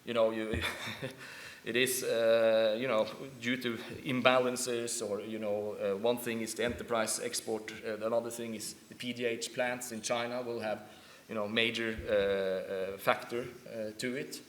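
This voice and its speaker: A man talks in a flat, level voice on a conference panel, hesitating often and chuckling between phrases. His voice rings through a large hall with a long reverb. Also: mid-range voice, unhurried. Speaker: shipbroking analyst on a panel.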